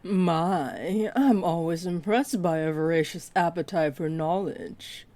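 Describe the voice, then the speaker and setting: A woman's voice: fairly low pitch, takes it slowly, mellow. A woman voicing a flirty, possessive half-dragon librarian in a roleplay audio, speaking in a coy, playful drawl. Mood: coy teasing. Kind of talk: roleplay audio acting addressed to the listener.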